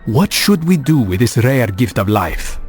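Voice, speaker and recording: Music runs beneath a solemn master assassin. He is a man of medium pitch who speaks formally and with measured care, curious and contemplative, in the manner of a dramatic video game cutscene. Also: sing-song.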